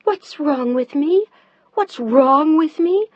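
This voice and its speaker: Wife, high and heated, near tears, woman putting her on. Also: lively intonation, brisk, dry recording, highs cut off. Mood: angry. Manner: loud, sharp, near tears. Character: upset new wife, tearful and fierce.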